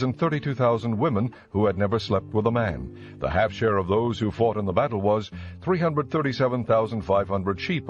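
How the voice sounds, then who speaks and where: Low male scripture narrator over prominent music. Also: lo-fi sound. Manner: measured, formal.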